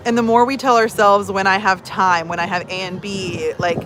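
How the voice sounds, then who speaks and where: A woman's voice: medium pitch, fairly even intonation, moderate pace, a bit bright, faint music behind, low-quality recording. Chatty woman in her thirties. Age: 30s. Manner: chatty, many fillers, reads comments aloud. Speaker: vlogger and personal growth coach. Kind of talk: casual chat to camera.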